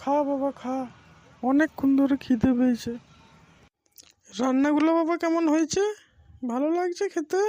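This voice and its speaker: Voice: high-pitched, moderate pace, long pauses, dark tone, no room sound, lightly acted. A performer voicing a kindly, doting aunt in a comic cartoon, fussing and caring. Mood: soothing. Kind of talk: amateur comic cartoon dubbing. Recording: muffled, faint background noise.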